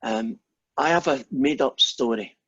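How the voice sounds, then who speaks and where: A man's voice: mid-range voice, a little slow, fairly bright tone, husky, dry recording, highs cut off. Rambling Scottish man lecturing. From Scotland. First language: Scots. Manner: rambling, anecdotal, self-deprecating. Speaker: maths lecturer. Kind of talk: informal university lecture.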